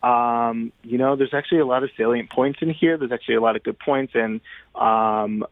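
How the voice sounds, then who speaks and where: A man's voice: medium pitch, fairly even intonation, moderate pace, no room sound. A man being interviewed as a playwright and director, talking informally and thinking aloud, on slightly muffled audio. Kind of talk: conversational radio interview.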